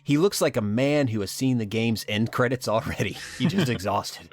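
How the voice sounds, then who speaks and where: A man co-hosting a podcast, reading a scripted recap of a game story with wry humor and dramatic pauses. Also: mid-range voice, lively intonation. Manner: wry, dramatic pauses, laughs at own lines. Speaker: podcast co-host. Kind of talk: scripted narration of a game plot.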